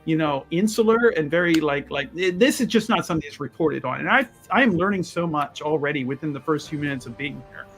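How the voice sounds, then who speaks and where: A man's voice: on the high side, animated, creaky, fairly bright tone, faint music behind. A man playing a courteous, inquisitive and very wordy scholarly visitor in an improvised tabletop roleplaying game. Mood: earnest, effusive. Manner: verbose, flowery, halting.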